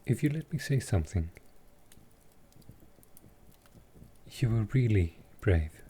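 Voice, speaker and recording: A man playing a gentle, courteous centuries-old vampire in an intimate roleplay audio, soft-spoken and reassuring, with a calming, unhurried delivery. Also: fairly low pitch, a little hiss or hum, natural voice. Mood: caring.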